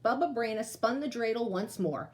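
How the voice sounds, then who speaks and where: A woman's voice: on the high side, moderate pace. A woman storyteller reading a picture book aloud to children, expressively and speaking straight to her young listeners. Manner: animated, addresses young listeners directly.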